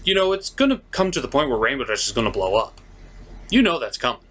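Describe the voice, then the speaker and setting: A man's voice: medium pitch, big pitch swings, fast, fairly bright tone, a little hiss or hum. A man hosting a comic scripted review, narrating with theatrical, sarcastic, mock-dramatic emphasis. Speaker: cartoon reviewer, show host.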